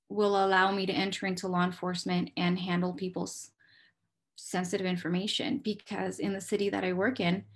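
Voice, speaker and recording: An American woman with a mid-range voice speaks as an interview guest. She explains her working life in a candid, conversational way, often setting up a question and answering it herself. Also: mid-range voice. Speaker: police dispatcher, interview guest.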